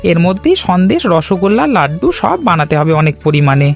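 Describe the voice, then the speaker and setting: Guy doing humble fatherly sweet shop man for a cartoon, gravelly, pitch jumps all over. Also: sing-song, a little fast, heavily acted. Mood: earnest. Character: humble, devoted village sweet-maker father, anxious about work. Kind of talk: animated story dialogue. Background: background music.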